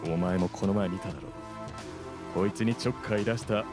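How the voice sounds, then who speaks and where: A man's voice: deep voice, fairly quick, natural voice. A man playing a stern, protective soldier ant with a commanding manner in a radio drama. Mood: angry, threatening. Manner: commanding, threatening. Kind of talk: live radio drama acting. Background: music.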